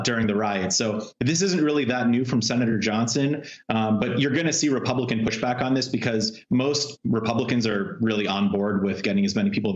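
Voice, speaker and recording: An American man, a political reporter, giving informal live analysis in a loose, conversational way over a remote link from a room. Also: lowish voice. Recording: roomy, dull video call sound.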